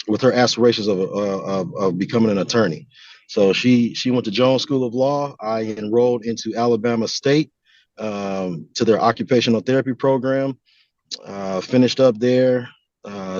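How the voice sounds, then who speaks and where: Low-voiced American man, slightly dull recording. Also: a little mellow, dry recording. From California, United States. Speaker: podcast guest. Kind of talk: conversational interview answers.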